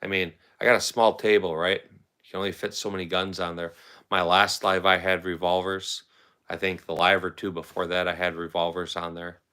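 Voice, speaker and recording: A man's voice: deep voice, flat delivery, normal speed, sharp tone, reverberant. A man hosting a casual livestream, rambling and answering viewers' comments as they come in. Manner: rambling, talks back to chat comments. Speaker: livestream host.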